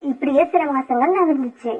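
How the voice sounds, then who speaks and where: A woman's voice: sits high, moderate pace, narrow, tinny audio. A woman narrator reading a scripted children's story.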